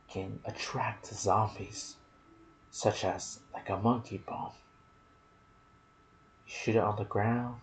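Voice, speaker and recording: A soft-spoken man hosting an ASMR video about game lore, with a hushed delivery that slips into whispers. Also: fairly low pitch, slight background noise, rough recording. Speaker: video host and gaming content creator.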